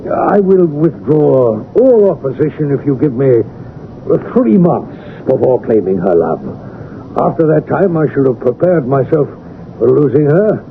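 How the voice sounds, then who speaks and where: Creaky-voiced, possessive gentleman villain. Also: mid-range voice, very animated. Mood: pleading, controlled. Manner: halting, pleading, mannered. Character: intense, possessive male villain posing as contrite brother. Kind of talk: 1970s radio drama acting. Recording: narrow-band vintage radio, faint hiss.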